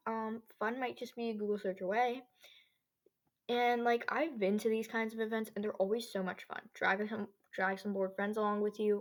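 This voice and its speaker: A teenage girl hosting a casual solo podcast, chatting in a rambling, slangy way. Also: mid-range voice. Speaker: podcast host. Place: own bedroom.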